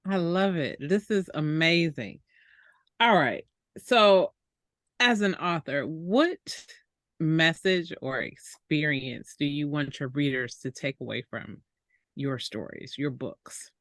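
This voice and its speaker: A woman's voice: sits low, lively intonation, takes it slowly, a bit bright, dry recording, clean studio sound. A woman hosting a talk show and interviewing a guest in a casual style.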